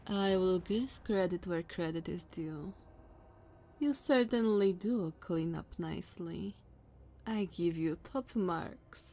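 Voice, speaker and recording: Woman doing a tall vampire noblewoman for asmr roleplay, really slow and drawn out, haughty, bossy, mocking, talks all formal. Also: on the low side, dark tone. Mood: imperious, appraising. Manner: slow, condescending, theatrical formal diction.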